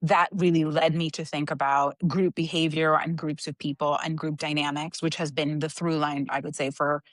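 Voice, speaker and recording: Low-voiced woman, lively reflective talker. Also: moderate pace, no room sound. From Cuba. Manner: animated, reflective, laughs often. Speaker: guest, organizational psychologist. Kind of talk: casual interview talk.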